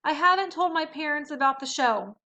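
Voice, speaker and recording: Woman playing teenage girl, storybook character, high, bright, defensive, emotional, emphatic. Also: expressive, fairly quick, muffled recording. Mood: distressed, guilty. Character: upset, defensive teenage girl, spiteful then guilt-ridden.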